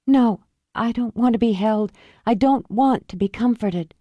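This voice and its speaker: A woman voicing a guarded young woman who tells a painful memory in a quiet, controlled way. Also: fairly high pitch, measured pace, no echo, lo-fi sound, pitched above the performer's own voice. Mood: defiant. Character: guarded young woman recalling her childhood.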